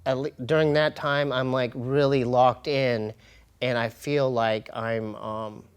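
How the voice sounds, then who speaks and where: A man's voice: medium pitch, fairly even intonation, slow pace, clear, resonant tone. Candid, slangy man talking casually. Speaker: podcast guest, comedian and hip-hop artist. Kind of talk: casual conversational interview.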